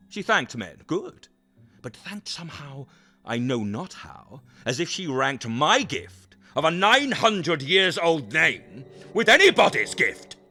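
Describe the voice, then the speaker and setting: A man playing a proud, jealous Renaissance duke in an audio drama, speaking verse in a haughty, deliberate, emphatic manner. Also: medium pitch, bright, forceful tone.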